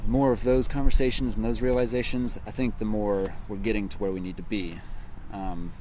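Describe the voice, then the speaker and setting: A young man answering interview questions outdoors in a park, thoughtful and measured. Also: fairly low pitch, fairly even intonation, darkish tone, a little hiss or hum, poor audio. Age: young adult. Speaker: interview guest, team manager. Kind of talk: casual interview chat.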